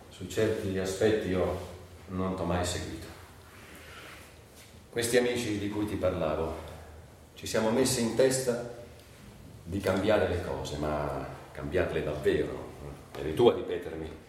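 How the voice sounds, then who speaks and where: An actor as a slow, echoey, grieving man. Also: deep voice. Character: grieving, brooding man, intimate and reflective. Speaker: stage actor. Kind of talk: dramatic theatre monologue. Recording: very echoey distant stage recording, faint noise.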